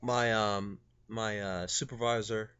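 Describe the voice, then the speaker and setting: A young man speaks in a lowish, clear voice over a dull phone recording. He sounds like a polite service agent, hesitant and formal in how he addresses people. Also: fairly even intonation, takes it slowly, a bit bright. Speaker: prank caller. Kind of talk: phone call, posing as a customer service agent.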